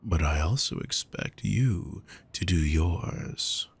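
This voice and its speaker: A man acts out a rich, courtly villain in an intimate audio drama. His very low voice is rough with gravel and husk. He speaks with measured formality, possessive and manipulative, with a calm, soft menace. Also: heavily acted. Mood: possessive, gentle. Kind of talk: intimate scripted roleplay audio.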